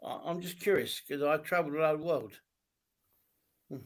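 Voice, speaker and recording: A man from Turkey with a slightly high voice talks as a guest on a casual live stream. He is friendly and curious, asks viewers where they are from and laughs easily. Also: moderate pace. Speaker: medium and spiritual teacher.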